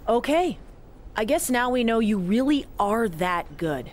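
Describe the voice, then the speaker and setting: A woman's voice: on the high side, big pitch swings, a little slow, a little hiss or hum. A woman voicing a tough, supportive female wrestling mentor who speaks firmly and encouragingly. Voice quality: firm, encouraging.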